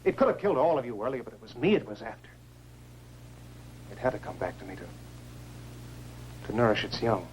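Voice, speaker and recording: A man acting an anguished, pleading astronaut, swooping pitch. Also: big pitch swings, a little hiss or hum, lightly acted, poor audio. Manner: impassioned, halting, pleading. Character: anguished astronaut pleading for the alien inside him. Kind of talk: 1950s science fiction film acting.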